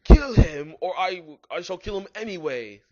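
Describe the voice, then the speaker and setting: A man voicing an evil, menacing dark wizard villain with a raspy voice and archaic speech, in a theatrical story reading. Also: sits high, lively intonation, normal speed, no echo, muffled recording, pitched above the performer's own voice. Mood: threatening. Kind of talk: theatrical fan-fiction reading.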